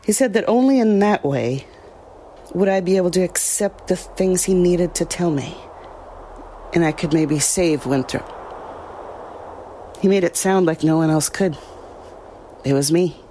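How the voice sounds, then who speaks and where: A woman's voice: on the low side, a little slow, long pauses, dark tone, a little hiss or hum, natural voice. An actress playing a troubled woman recounting a frightening ordeal in an audio drama, reflective and hesitant. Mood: subdued, haunted.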